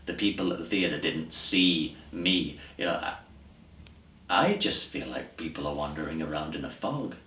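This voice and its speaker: An actor playing an upset, disillusioned theatre man in a stage monologue, musing haltingly and emphatically, with repeated words. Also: very deep voice, expressive, sharp tone, exaggerated voice. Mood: exasperated, despairing. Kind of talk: dramatic audition monologue, conversational stage acting. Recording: roomy echo, distant microphone.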